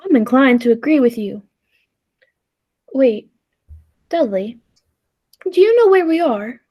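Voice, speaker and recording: A woman's voice: sits high, big pitch swings, takes it slowly, muffled recording, exaggerated voice. A woman playing a time traveler's uneasy, doubtful companion in a 1940s-style radio play, earnest and hesitant. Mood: wary.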